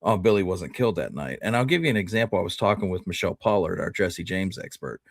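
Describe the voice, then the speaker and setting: A man's voice: sits low, lively intonation, fast talker, dry recording, studio quality. A man hosting a history show, talking casually and often restarting his phrases as he makes a point.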